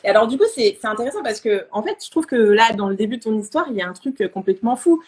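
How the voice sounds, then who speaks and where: Higher-voiced woman hosting a casual interview. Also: lively intonation, rapid-fire. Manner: hesitant phrasing, searching for words. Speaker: interview host. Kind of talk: casual live interview, chatty.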